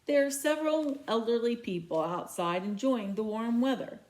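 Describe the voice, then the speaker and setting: A woman's voice: a little high, animated, normal speed, darkish tone. Woman reading a novel aloud. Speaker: read-aloud reader.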